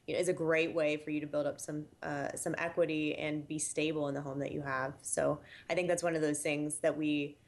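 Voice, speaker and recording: Low female voice, expert interview guest, measured and explanatory. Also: level tone. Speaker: housing statistics director, guest. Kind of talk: interview answers.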